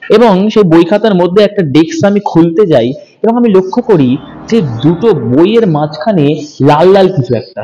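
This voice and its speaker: Man with a high voice, earnest first-person horror narrator, stops to fix his own slips. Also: mellow, rough recording. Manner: earnest, with small self-corrections.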